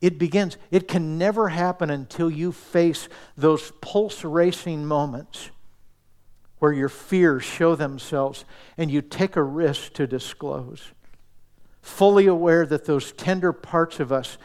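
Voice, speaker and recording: A man preaching a sermon in an earnest, intimate way, dropping to soft, near-whispered asides. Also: a little high, takes it slowly. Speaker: pastor.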